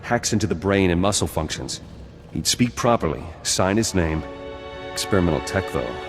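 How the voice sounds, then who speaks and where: A man's voice: low voice, animated, normal speed. An actor playing a hardened, terse rebel fighter in a video game, grim and suspicious, dropping small words as he talks. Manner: clipped speech that drops small words. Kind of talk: video game dialogue acting. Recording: long hall reverb, music behind.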